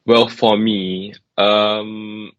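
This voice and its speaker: A man's voice: on the low side, little pitch movement, very slow, a bit bright, no echo, muffled recording. A young man from Malaysia, thoughtfully answering interview questions and using "like" often. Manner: thoughtful, many "like" fillers.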